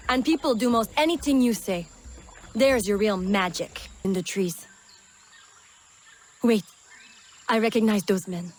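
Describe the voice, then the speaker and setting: An actress playing a brash, hot-tempered bayou smuggler woman in a video game, blunt and scornful. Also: fairly high pitch. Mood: knowing, blunt.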